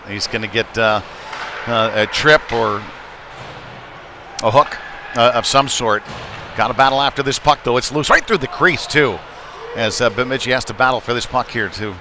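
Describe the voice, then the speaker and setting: A man's voice: mid-range voice, pushed and bright, a little hiss or hum. A man giving live play-by-play commentary of a youth ice hockey game, with conversational, folksy asides.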